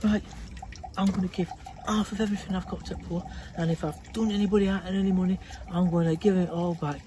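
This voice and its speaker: A storyteller voicing an excitable, clumsy little tax collector man who is flustered, breathless and apologetic. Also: medium pitch, a little slow, slight background noise, voice pushed up, close to an everyday voice. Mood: remorseful.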